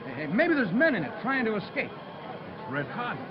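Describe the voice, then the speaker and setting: Excitable, swooping rustic bystander. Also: male voice, high-pitched, moderate pace. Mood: gawking excitement. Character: excitable rustic male bystander, gawking and alarmed. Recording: muffled, noisy, distant broadcast.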